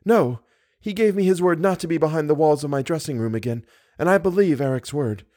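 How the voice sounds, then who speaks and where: A frightened young woman of a gothic storybook, as played by a male reader, pitched well up. She pleads earnestly and exclaims with dramatic, breathless devotion. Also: a little fast, a little mellow, dry recording, clean studio sound, close to an everyday voice.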